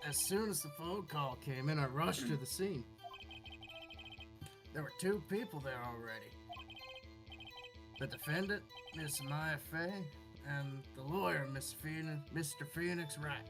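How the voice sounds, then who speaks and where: A man's voice: a little high, measured pace. Dutiful homicide cop acted by a man, earnest and deferential, game music behind. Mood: insistent. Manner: earnest, says sir often. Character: earnest, deferential male police homicide detective.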